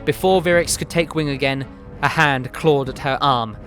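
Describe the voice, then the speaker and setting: A man reading a fantasy story aloud as narrator, with dramatic, deliberate phrasing. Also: fairly high pitch, a bit bright. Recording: music behind the voice. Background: background music.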